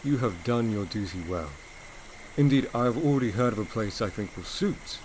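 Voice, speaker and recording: An actor playing a brooding, teasing English gentleman in a gothic romance audio drama, speaking in formal, theatrical period phrasing. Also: on the low side, lively intonation, moderate pace, slight background noise, close to an everyday voice, poor audio. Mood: brisk, feigned lightness. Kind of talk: audio drama acting, period literary dialogue.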